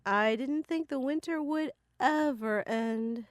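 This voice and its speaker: A woman's voice: sits high, takes it slowly, no echo, studio quality. An actress playing a strict, disapproving mother of a teenager in an audio drama, emphatic and scolding. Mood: pleasant.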